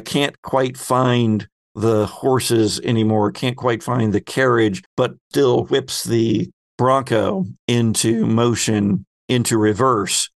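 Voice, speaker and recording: A man's voice: lowish voice, no echo. A man working as game master, narrating a tabletop roleplaying game in a dramatic storytelling style.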